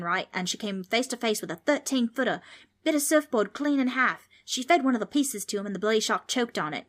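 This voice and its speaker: A woman's voice: medium pitch, fairly bright tone, natural voice. A woman voicing an easygoing, chatty Australian boy with matey slang in a children's audiobook.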